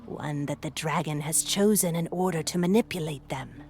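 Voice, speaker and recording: An actress playing a solemn, learned head of a clan of chroniclers in a video game, speaking in a measured, formal storytelling way. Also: female voice, low voice, darkish tone, soft background music. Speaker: clan historian and leader. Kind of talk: video game cutscene acting.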